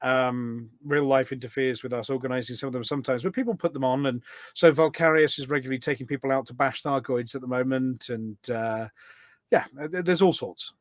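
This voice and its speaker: A man's voice: medium pitch, a little fast, dry recording. Chatty man with a dry, close sound. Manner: chatty and rambling, acts out quoted remarks. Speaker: podcast guest and community radio show presenter. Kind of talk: casual chat, telling anecdotes.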